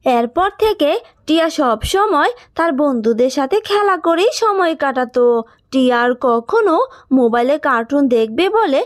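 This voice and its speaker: A woman narrator with a high voice. She reads a scripted children's cartoon story as a storyteller. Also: lively intonation, normal speed.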